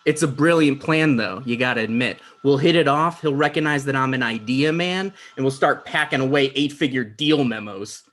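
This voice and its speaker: A man's voice: medium pitch, normal speed, few pauses, a bit bright, natural voice. A man playing a self-important, insecure would-be talk show host, dismissive and argumentative, in a comedy table read. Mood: scheming.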